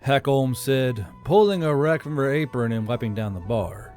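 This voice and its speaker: A man's voice: medium pitch, moderate pace. A man reading scripted fantasy fiction as an audiobook narrator. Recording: soft music bed under narration.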